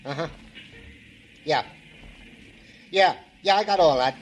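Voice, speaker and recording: An actor playing a dutiful, talkative police sergeant sidekick in a 1940s radio crime drama, deferential and a bit ornate. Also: male voice, fairly high pitch, slow pace, highs cut off. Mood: eager, businesslike. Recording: noisy old radio broadcast recording.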